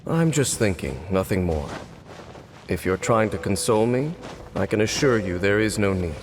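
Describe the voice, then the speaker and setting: An actor playing a weary, cursed, centuries-old knight captain in a video game, speaking in a grave, measured, formal and stoic way. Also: male voice, lowish voice, animated, close to an everyday voice. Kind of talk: video game character acting. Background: music.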